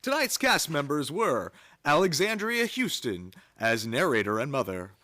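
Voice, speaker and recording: A man's voice: a little high, very animated, moderate pace, sharp tone, slight background noise. A man reading scripted credits as a radio announcer, recorded live in a theater. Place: theater stage, live performance.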